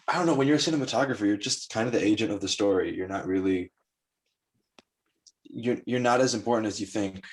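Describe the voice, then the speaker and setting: A man's voice: lowish voice, a little hiss or hum, poor audio. A man chatting as a filmmaker in a casual group discussion, informal and tentative, with a self-deprecating way of making his point.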